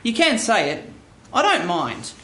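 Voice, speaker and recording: A woman's voice: medium pitch, very animated, measured pace, sharp tone. A woman playing a meek, dutiful office clerk in a radio play, speaking politely and hesitantly. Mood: wry, sheepish. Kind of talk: stage radio play acting. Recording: roomy live studio, faint noise.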